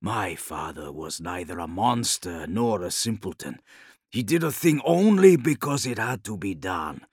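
A man playing a grave, proud warlord khan in an audiobook, contemplative, with measured and formal phrasing. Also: low-pitched, very animated, breathy edge, dry recording, studio quality, full character voice. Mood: brooding, resolute.

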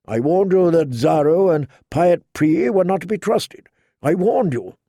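A man reading an audiobook, voicing a pleading aging male knight who talks haltingly and earnestly, sometimes stammering. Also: on the high side, animated, warm tone, dry recording, studio quality, pitched above the performer's own voice. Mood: defensive.

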